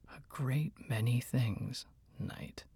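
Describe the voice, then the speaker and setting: A man voicing a sickly, cynical mage who speaks slowly and secretively in a menacing, hissing whisper. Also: mid-range voice, warm tone, slightly rough, dry recording, clean studio sound, exaggerated voice. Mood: ominous. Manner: slow, deliberate.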